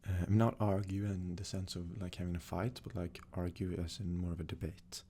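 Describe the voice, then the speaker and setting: A young man from Sweden, a native Swedish speaker, talks casually in a soft-spoken, hesitant ASMR style as he answers questions. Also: low-pitched, flat delivery, normal speed. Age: young adult. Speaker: ASMR creator.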